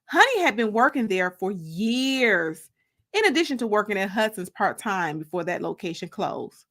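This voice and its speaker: A woman reading a first-person story as dramatic audiobook narration, expressive and deliberate, drawing out the ends of phrases. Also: medium pitch. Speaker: author reading her book.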